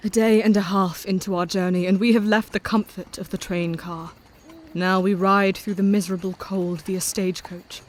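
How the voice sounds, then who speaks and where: An actress playing a devoted, brave young wife in an audio drama, speaking gently and from the heart, with faint background noise. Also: female voice, medium pitch, level tone, normal speed, close to an everyday voice. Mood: somber. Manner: gentle, heartfelt, softly spoken. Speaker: narrator of her own journal.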